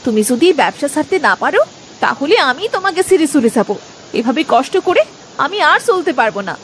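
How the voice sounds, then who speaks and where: A woman playing a nagging, sharp-tongued village potter's wife in a moral-story cartoon, scolding and complaining. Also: sits high, very animated, quick pace, slight background noise. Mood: fed up.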